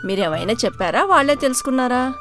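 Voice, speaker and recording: Woman as a high-voiced, confiding village neighbour. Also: lively intonation, fast talker, music in the background. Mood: suspicious, confiding. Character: concerned, suspicious younger village neighbour woman.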